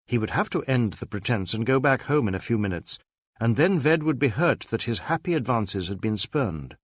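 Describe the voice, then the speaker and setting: A male narrator reads detective fiction in a scripted, dramatic storytelling style. His pitch is mid-range and moves expressively, and the sound is dry and close. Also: expressive, moderate pace, no room sound. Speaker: audiobook narrator.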